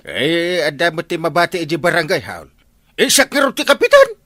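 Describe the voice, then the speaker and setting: A lazy, stubborn village official in an Ilocano radio comedy. His high voice swoops wildly through whiny, drawn-out retorts, all in an Ilocano accent. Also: wildly swooping pitch, pushed and bright, dry recording, clean studio sound, heavily acted. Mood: whiny, evasive. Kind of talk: comedic Filipino radio drama acting.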